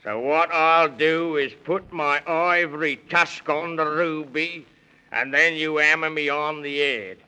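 Bright, hearty tusked beast. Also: medium pitch, fairly even intonation, clear, resonant tone, rough recording. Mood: instructing. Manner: hearty, slangy, deliberate. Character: jolly, resourceful tusked animal sidekick, chummy male. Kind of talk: 1930s children's radio serial acting in rhyme.

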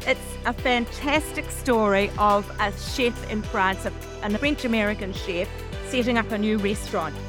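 A woman's voice: medium pitch, moderate pace, music in the background. A woman hosting a podcast, putting questions to a guest in a conversational interview.

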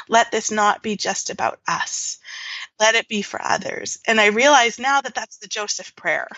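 A woman in her forties speaks as a podcast guest, reflective and unhurried, with frequent fillers and little laughs. Her voice sits at a middle pitch. The recording is dry and a touch muffled, with no room sound. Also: pushed and bright, dry recording. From Canada. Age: 40s. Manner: reflective, laughs often, many fillers and restarts. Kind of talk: casual interview storytelling.

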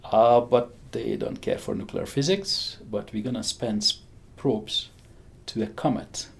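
Gravelly Hungarian-accented man in his sixties. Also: mid-range voice, a little slow. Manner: enthusiastic, chuckles often, frequent restarts. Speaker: physicist interviewee. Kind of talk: conversational interview.

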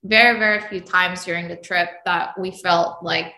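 A young adult woman from Indonesia, a podcast guest telling travel stories in an animated, laughing way. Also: fairly low pitch, normal speed, creaky, echoey room. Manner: animated storytelling, frequent laughs. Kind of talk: casual interview chat.

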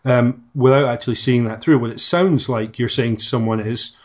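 A man in a podcast conversation, speaking in a measured, thoughtful way. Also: medium pitch, darkish tone. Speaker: podcast guest. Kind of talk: reflective conversation.

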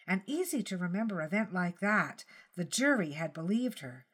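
A woman's voice: lowish voice, very animated, moderate pace, breathy edge. A woman reading fiction aloud as a scripted short story narrator.

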